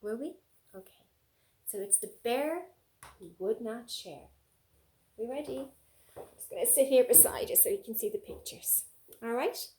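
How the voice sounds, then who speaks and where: A woman reading a story aloud as a preschool teacher, with coaxing, animated asides and questions for young children. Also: a little high, moderate pace, long pauses, a little hiss or hum.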